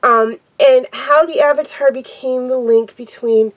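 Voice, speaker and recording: A young woman with a cold and a stuffed-up, nasal voice, hosting a casual TV episode review and talking haltingly with many pauses and ums. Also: high-pitched, fairly even intonation. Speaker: show host reviewing TV episodes.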